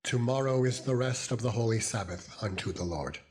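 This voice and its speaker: A man's voice: mid-range voice, brisk, pitched above the performer's own voice, natural voice. A man voicing a stern, commanding prophet in a solemn, emphatic dramatized scripture reading. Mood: solemn, instructing. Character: Moses, stern commanding male prophet leading his people. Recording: loud music under the voice.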